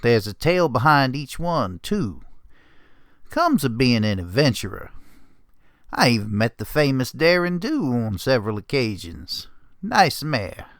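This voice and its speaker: A grizzled old pony adventurer, as acted out by a man, narrates a storybook tale. His folksy, grumbling delivery is unhurried and swings freely up and down in pitch. The voice is full of gravel and curmudgeonly temper. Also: very animated, fairly bright tone, no echo, clean studio sound. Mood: grumbling, reminiscing. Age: older. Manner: folksy, curmudgeonly drawl with clipped phrases. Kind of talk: first-person storybook narration.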